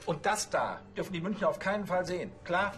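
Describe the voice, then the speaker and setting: An actor playing an eager, fussy police officer who talks in a chatty, colloquial way. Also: male voice, sits high, lively intonation, brisk, a bit bright, faint music behind. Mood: officious.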